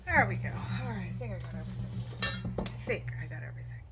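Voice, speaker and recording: A woman in her forties with a quiet voice, chatting casually and meandering while she bakes in her home kitchen, filmed on a phone. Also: lowish voice, lively intonation, quick pace, heavy background noise. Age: 40s. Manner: soft-spoken, meandering, self-deprecating. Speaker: home cooking vlogger. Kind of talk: casual rambling while baking.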